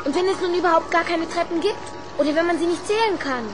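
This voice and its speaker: A woman's voice: extremely high pitch, fast, music in the background. A woman playing an eager, inquisitive young schoolboy inventor in a children's radio play. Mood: worried.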